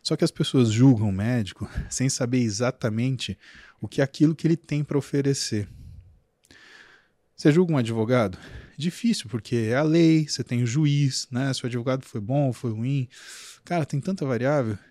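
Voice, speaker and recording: A man with a Brazilian Portuguese accent, a physician talking on a podcast in an animated, emphatic storytelling way. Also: medium pitch, normal speed, dry recording, clean studio sound. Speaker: physician guest. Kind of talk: casual podcast interview.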